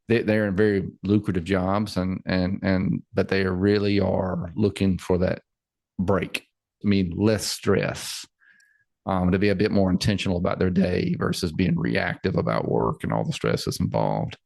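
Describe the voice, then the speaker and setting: A man's voice: low-pitched, steady tone, a little slow, vocal fry, a little mellow, dry recording. A man in his forties, a financial planner chatting casually on a podcast, repeating words and laughing easily. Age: 40s.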